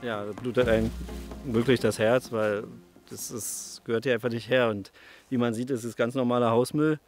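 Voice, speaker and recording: Mid-pitched male forestry worker. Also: fairly even intonation, normal speed, soft background music. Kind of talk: casual interview talk.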